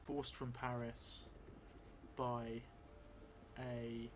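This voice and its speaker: A middle-aged man tells an improvised story as he plays a solo tabletop game. His speech is slow and halting, as if dictating while he writes, with little movement in pitch. There is clear noise behind his voice. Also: little pitch movement. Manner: halting, thinking aloud, slowly dictating as he writes. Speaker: solo role-playing streamer. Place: indoor theatre room, seated at a table. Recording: clearly noisy room recording.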